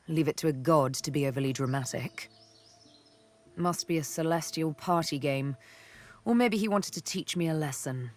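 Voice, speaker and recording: A woman's voice: low-pitched, moderate pace, a little mellow, lightly acted. A woman voicing a sardonic, vengeful elf huntress for a video game, with arch, teasing, theatrical phrasing. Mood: sardonic, grave. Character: sardonic, vengeful female elf, former slave turned huntress. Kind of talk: video game character dialogue. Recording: background music under the voice.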